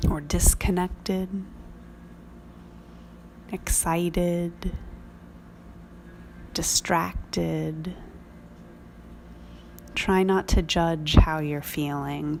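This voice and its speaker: A woman leading a guided prayer meditation in measured, reflective phrases with long pauses. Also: lowish voice, vocal fry, darkish tone, a little hiss or hum.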